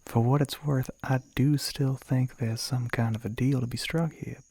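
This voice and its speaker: A man playing a suave, flirty male demon in an ASMR roleplay, with a Southern American accent, a breathy, gravelly voice that often drops to a whisper, and a teasing, coaxing drawl. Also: mid-range voice, steady tone, normal speed, darkish tone, no room sound. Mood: coaxing, reassuring.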